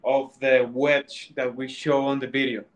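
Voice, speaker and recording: A man's voice: mid-range voice, flat delivery. Man co-hosting on a video call. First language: Spanish. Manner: halting, repeats words. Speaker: webinar co-host. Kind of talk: casual live-stream chat.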